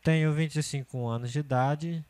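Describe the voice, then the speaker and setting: A man's voice: a little high, moderate pace. A man in his thirties, a computer course teacher, talking through a spreadsheet tutorial step by step and often checking in with the learner. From Brazil. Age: 30s. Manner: steady step-by-step explaining, often checking with "certo?".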